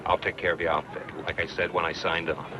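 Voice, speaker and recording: Man playing a very deep-voiced, stubborn frontiersman. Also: level tone, quick pace, noisy background, lightly acted. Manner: firm, commanding, plain-spoken. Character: stubborn, self-reliant young frontiersman guarding his rifles. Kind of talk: western radio drama acting. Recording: dull lo-fi radio recording, music.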